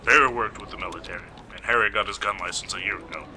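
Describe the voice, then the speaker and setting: A man playing a gruff, put-upon police investigator in an amateur radio play, with a terse, grumbling delivery. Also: sits low, very fast, bright, forceful tone. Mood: irritated. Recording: noisy amateur home recording.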